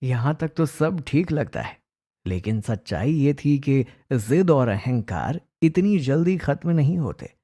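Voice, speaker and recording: A male narrator tells a scripted family story. His voice sits at a middle pitch with a mellow tone, and he takes his time over each sentence. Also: lively intonation, unhurried.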